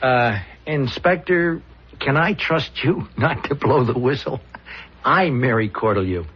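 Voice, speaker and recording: A man's voice: mid-range voice, measured pace, husky. An actor playing a chatty, eager male newspaper columnist in a 1970s radio drama, folksy and a little hesitant, on a dull old recording. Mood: wary, sheepish.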